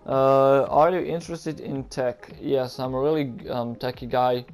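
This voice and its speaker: A young Croatian man answers viewer questions over gameplay in English, his second language, with a Croatian accent. He sounds unsure, filling gaps with ums and likes. His voice is flat and gravelly, with faint music behind it. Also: medium pitch, unhurried. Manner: hesitant, many ums and likes, nervous. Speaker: gaming YouTuber. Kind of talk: casual Q and A over gameplay footage.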